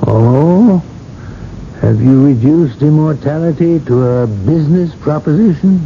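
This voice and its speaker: An actor playing a dignified, patient older cardinal in a radio drama, speaking in a courteous, measured, gently ironic way. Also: male voice, fairly high pitch, expressive. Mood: solemn, probing. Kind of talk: 1980s radio drama acting. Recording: muffled, noisy old radio broadcast.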